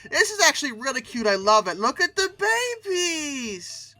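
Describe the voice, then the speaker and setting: A host giving casual, comedic reaction commentary with theatrical, exaggerated emphasis and drawn-out words. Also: high-pitched, big pitch swings, pushed and bright. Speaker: video host reacting to old paleoart.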